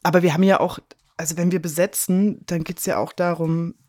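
A woman's voice: on the low side, steady tone, brisk, darkish tone, dry recording. A woman speaking as an interview guest and radio drama director, chatting in a colloquial, enthusiastic way. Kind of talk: casual interview conversation.

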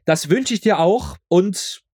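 Male interview guest, fairly high voice, agreeable, informal, repeats himself, dry recording. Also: expressive, slow, a little mellow, husky, no echo. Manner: agreeable, informal, often repeats his words. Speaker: interview guest, audio drama maker. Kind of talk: casual interview chat.

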